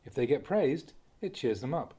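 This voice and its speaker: Expressive, slightly husky mellow man reading teachings. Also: medium pitch, normal speed. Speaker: Buddhist monk reading a translated talk aloud. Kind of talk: read-aloud Dhamma talk, measured teaching.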